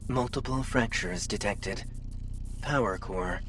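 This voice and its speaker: A man voicing an impassive game-system interface in an audiobook drama, giving formal, deliberate status readouts. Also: on the low side, fairly bright tone, slight background noise.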